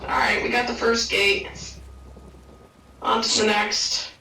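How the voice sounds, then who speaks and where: A woman's voice: on the high side, level tone, takes it slowly, vocal fry, pushed and bright, slight background noise. A woman chatting casually as a guest on a game stream, easygoing and chatty. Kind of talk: casual gameplay chat. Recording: roomy, distant mic.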